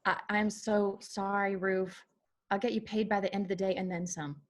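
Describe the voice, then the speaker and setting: A woman's voice: mid-range voice, little pitch movement, moderate pace, lightly acted. A woman playing a hurt, confrontational young daughter in a dramatic screenplay table read. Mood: apologetic.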